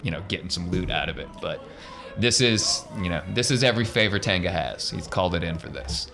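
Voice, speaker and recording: A man's voice: deep voice, expressive, fairly quick, creaky, music in the background. A man casually narrating an improvised tabletop roleplaying game as the game master, in a conversational storytelling manner.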